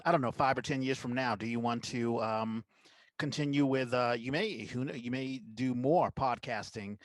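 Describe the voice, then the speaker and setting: A man's voice: medium pitch, normal speed, no room sound. Friendly male host with a dry close sound. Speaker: podcast host. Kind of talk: casual interview chat.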